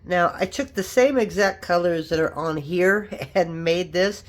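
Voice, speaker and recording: Crafter talking while working, a bit stuffed up, rambly and chatty, middle pitch. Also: measured pace. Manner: chatty and rambling, with frequent asides. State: sniffly, stuffed up from allergies or a cold. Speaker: craft vlogger. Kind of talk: casual tutorial chat while working.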